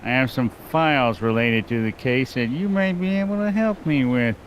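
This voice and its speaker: A man playing a folksy, placating small-town lawman in an animated game, breezily evasive. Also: mid-range voice, moderate pace, a little hiss or hum, lightly acted.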